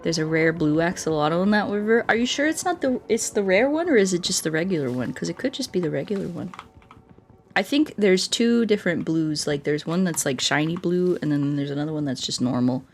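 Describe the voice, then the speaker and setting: A woman's voice: lowish voice, lively intonation, a little fast. A woman streaming a video game, chatting casually and playfully with viewers in a scatterbrained way, often repeating her words.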